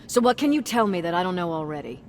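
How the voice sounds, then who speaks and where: A woman's voice: on the low side, very animated, fast, a little hiss or hum. A woman playing a stern, contemptuous resistance field commander in a video game, speaking with intimidating sarcasm. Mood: cold sarcasm. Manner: sardonic, intimidating. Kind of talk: video game dramatic acting.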